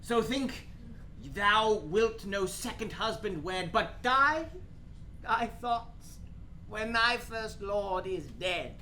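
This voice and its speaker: A man's voice: sits high, bright, forceful tone, a little hiss or hum, heavily acted. A man playing a weary, devoted old king declaiming rhymed verse in a theatrical radio play. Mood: solemn, fading. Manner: grand, theatrical, deliberate.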